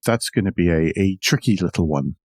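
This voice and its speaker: A man's voice: sits low, lively intonation, fairly quick, darkish tone, no echo, studio quality. A man co-hosting a motoring news podcast, moving between read-out items and conversational, wry asides. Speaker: podcast co-host. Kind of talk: conversational car news discussion, partly read out.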